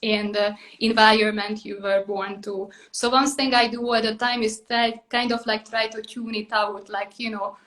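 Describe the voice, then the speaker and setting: A woman in her thirties with a Hungarian accent hosts a relaxed interview. Her voice sits fairly high but barely moves in pitch, and her sentences drift along, padded with "like" and "basically". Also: normal speed, few pauses, poor audio. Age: 30s. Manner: many "like" and "basically" fillers, loose phrasing. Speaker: podcast host. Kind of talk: casual interview chat.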